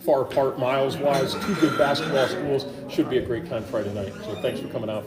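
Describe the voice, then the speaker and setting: A man's voice: medium pitch, fairly even intonation, a little fast. Chatty man host in an echoey gym. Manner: chatty, rambling questions with fillers. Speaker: local sports show host. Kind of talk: casual sports interview. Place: high school gym. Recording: echoey gym with crowd noise.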